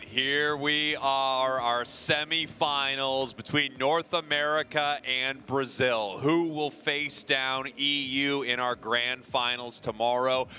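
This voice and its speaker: Esports caster, man, bright mid voice, energetic, faint music behind. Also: medium pitch. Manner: energetic, building hype. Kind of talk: play-by-play commentary.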